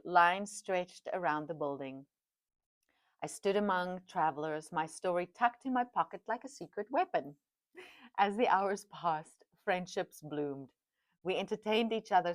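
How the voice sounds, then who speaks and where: Middle-aged lady reading her memoir at home, lowish voice, dry close sound. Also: no echo. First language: Afrikaans. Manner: reflective, with chatty asides. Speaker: podcast host and author. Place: home dining room.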